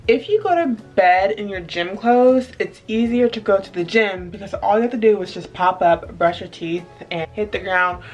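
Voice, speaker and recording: A young woman talking breezily to a handheld camera at home, chatting casually and laughing often. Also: mid-range voice. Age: young adult. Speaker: vlogger. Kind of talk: casual vlog chat to camera. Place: home kitchen and bedroom indoors. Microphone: handheld camera at arm's length.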